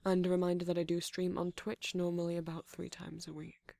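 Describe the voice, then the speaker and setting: An audio roleplay creator reading a scripted channel outro and credits in a steady, list-like delivery. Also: mid-range voice, brisk, gravelly, no echo, clean studio sound.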